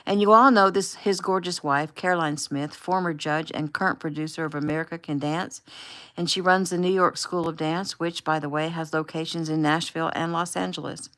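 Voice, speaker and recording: A woman's voice: sits low, steady tone, normal speed, poor audio. A woman voicing a bubbly young woman who gushes while filming a live social media video. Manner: gushing, upbeat, chatty.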